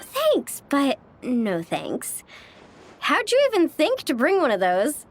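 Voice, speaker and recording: Sociable game heroine: youthful, high and animated, cheerful and chatty. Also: female voice, slow, exaggerated voice. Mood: breezy. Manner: breezy, chatty. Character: upbeat, sociable, party-loving young woman. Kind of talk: animated video game voice acting.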